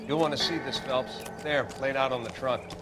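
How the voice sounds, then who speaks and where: A man's voice: mid-range voice, fairly even intonation, normal speed, loud music behind the voice, close to an everyday voice. A man voicing a matter-of-fact 1940s coroner who explains evidence in a clinical tone.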